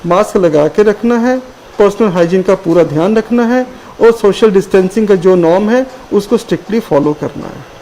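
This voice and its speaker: A man speaking as a medical expert on a call-in show, explaining precautions in a calm, patient, instructive way. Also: high voice, moderate pace, a little hiss or hum, rough recording. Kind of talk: explaining health advice.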